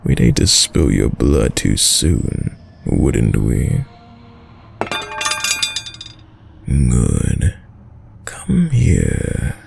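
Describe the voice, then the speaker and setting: A man playing a sadistic, dominant vampire in an intimate audio roleplay, speaking in a commanding, silkily menacing and condescendingly tender way, deep and breathy. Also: huge pitch swings, noisy background, heavily acted. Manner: slow, commanding, condescendingly tender, often dropping to whispers. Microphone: close, dry studio mic.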